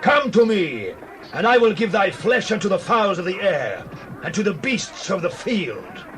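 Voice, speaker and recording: A man playing a scornful, menacing giant warrior, taunting loudly in a dramatized scripture reading. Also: high-pitched, big pitch swings, a little slow, slight background noise, pitched above the performer's own voice, exaggerated voice. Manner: taunting, loud.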